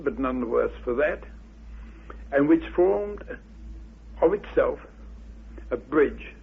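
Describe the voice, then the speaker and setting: A man speaking as an interviewed researcher, giving opinionated, deliberate views in formal wording, unscripted. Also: medium pitch, creaky, husky. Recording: muffled old radio recording.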